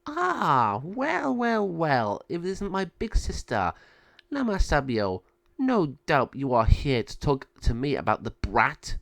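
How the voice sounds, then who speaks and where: A man's voice: a little high, wildly swooping pitch, creaky, a bit bright, no echo, voice pushed up, full character voice. A man doing a sneering villainous sorceress. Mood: mocking. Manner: sneering, drawn-out taunts. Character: scheming villainous sorceress, mocking older sister.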